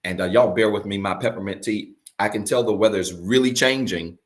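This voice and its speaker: Raspy-voiced man preaching a livestream sermon. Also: fairly low pitch, a little fast. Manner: repeats key points, urges viewers to comment. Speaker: pastor.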